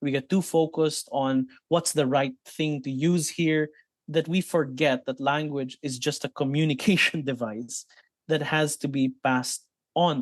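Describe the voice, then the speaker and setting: A man's voice: fairly high pitch, no room sound. Man, Filipino accent, psychologist guest, explanatory, dry close recording. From Philippines. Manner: explanatory, mixes in Tagalog terms, many restarts. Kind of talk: thoughtful interview answers.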